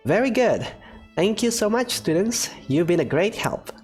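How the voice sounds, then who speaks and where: A woman's voice: mid-range voice, big pitch swings, moderate pace, a little mellow, faint music behind, pitched above the performer's own voice, natural voice. A woman voicing a gracious female professor who speaks in an encouraging, lilting way. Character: warm, genteel female professor, expecting mother.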